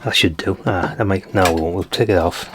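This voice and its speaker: A man's voice: deep voice, brisk, background noise. A British man talking casually and thinking aloud as he gives a hands-on teardown commentary, rambling and informal. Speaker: hobbyist radio channel host.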